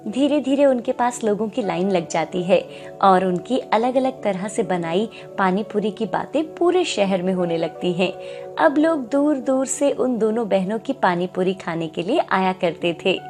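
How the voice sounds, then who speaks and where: A woman narrates a scripted moral tale. Her pitch is middling, and soft music runs faintly behind the narration. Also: lively intonation, unhurried.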